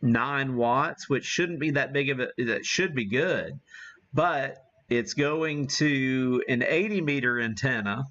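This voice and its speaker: A man giving a casual live demo as an amateur radio streamer, talking haltingly as he thinks aloud while working the gear. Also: medium pitch, fairly bright tone, lo-fi sound.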